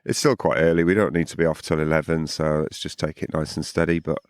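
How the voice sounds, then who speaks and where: Very deep-voiced, hoarse man. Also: fast talker, no echo, studio quality. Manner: relaxed, rambling, repeats words as he goes. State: morning voice hoarse from drinking. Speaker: podcast co-host. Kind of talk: casual chat outdoors at a campsite.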